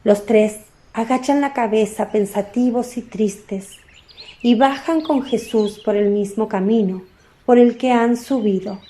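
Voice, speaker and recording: A woman narrates a religious radio drama from a script. Her voice sits somewhat high yet stays soft and mellow, composed and explanatory. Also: a little slow.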